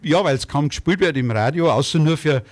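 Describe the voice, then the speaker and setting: A man in his sixties with an Austro-Bavarian accent, talking informally and a little haltingly as a musician guest in an interview. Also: fairly high pitch. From Germany or Austria. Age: 60s. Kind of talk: casual interview talk.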